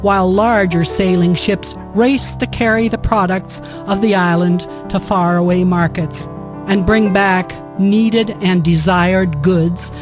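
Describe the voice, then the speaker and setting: A woman narrating a scripted historical tale in a measured, formal storytelling manner. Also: medium pitch. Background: guitar music.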